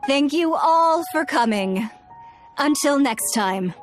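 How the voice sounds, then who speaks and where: An elegant, authoritative leader in a video game. She announces in a high voice at a slow, stately pace, with faint music behind. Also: animated. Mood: gracious, stately. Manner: stately, gracious. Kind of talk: formal announcement, game voice acting.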